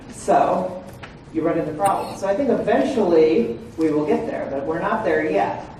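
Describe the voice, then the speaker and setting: A man's voice: sits high, measured pace, a little mellow, a little hiss or hum. A man in his forties presenting to a room of legal professionals, often checking in with "right?" and "okay?". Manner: frequent "right?" and "okay?" check-ins with listeners. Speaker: presenter at a legal training seminar. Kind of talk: conversational lecture to an audience. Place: large room with an audience. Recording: echoey room, far from the mic.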